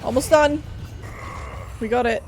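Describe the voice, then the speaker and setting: A woman's voice: high-pitched, unhurried, darkish tone, soft background music. A woman game streamer reacting casually to a story scene as she plays.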